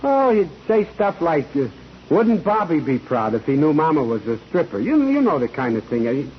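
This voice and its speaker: Jittery, stammering man, animated higher voice. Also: normal speed. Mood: uneasy, gossipy. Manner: stammering, repeating words, jittery. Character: nervous, evasive bookkeeper hiding a criminal past. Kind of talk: 1940s radio courtroom drama acting. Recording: muffled, noisy old 1940s radio recording.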